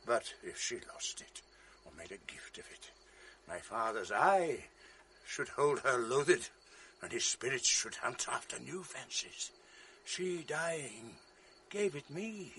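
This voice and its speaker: An actor playing a jealous noble general in a radio drama, grave and commanding with a resonant stage delivery. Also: male voice, mid-range voice, sharp tone, a little hiss or hum, full character voice. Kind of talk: 1980s radio drama acting.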